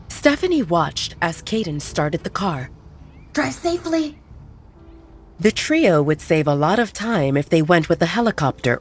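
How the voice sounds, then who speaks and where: A woman reading scripted audio drama narration as a storyteller. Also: sits low.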